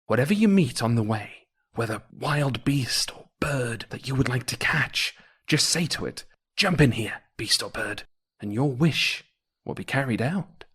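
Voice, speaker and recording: Male storyteller as a gentle old holy beggar in a folktale, calm and instructive. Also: mid-range voice, unhurried, slightly rough, heavily acted. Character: kindly old beggar man, secretly holy, giving gifts.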